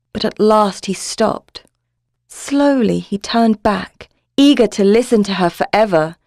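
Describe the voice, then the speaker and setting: A woman's voice: medium pitch, a little mellow, no echo. Female story narrator, dry close sound. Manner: measured, literary, dramatic. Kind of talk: scripted dramatic story narration.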